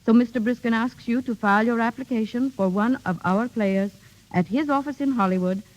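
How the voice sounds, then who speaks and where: A middle-aged woman reading a formal, measured 1930s radio address as a guest speaker. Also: fairly high pitch. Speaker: guest speaker, theater director. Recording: muffled 1930s radio broadcast.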